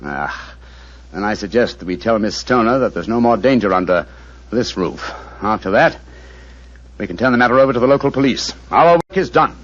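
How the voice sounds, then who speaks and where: An actor playing a brilliant, cool-headed detective in a radio drama, speaking in a crisp, precise, authoritative manner. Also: male voice, on the low side, animated, normal speed. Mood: calm, explaining. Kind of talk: 1940s radio acting. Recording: dull old radio broadcast.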